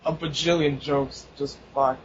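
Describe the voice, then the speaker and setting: A young man with a fairly high voice co-hosts a casual podcast, rambling and laughing. The recording is dull and lo-fi, with a faint hiss under it. He swears freely as he talks. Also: steady tone, a little slow. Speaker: podcast co-host. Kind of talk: casual chat.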